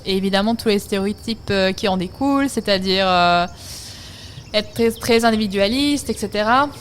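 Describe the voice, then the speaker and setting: A woman in her thirties from France, telling her own story in an interview, relaxed and reflective with many hesitant pauses. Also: mid-range voice, a little hiss or hum. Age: 30s. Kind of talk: personal testimony interview.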